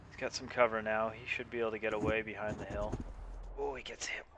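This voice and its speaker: A man commentating live on an esports tank match as streamer, with a halting delivery that repeats words. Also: fairly low pitch, level tone, fairly bright tone, slight background noise.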